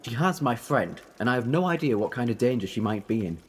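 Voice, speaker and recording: A man's voice: mid-range voice, lively intonation, quick pace, a little hiss or hum, natural voice. A man playing an eccentric, defiant, youthful-looking time traveller in an audio drama, rambling in a playful, theatrical way. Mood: determined, quirky. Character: eccentric, whimsical, defiant time-travelling alien Doctor who looks young.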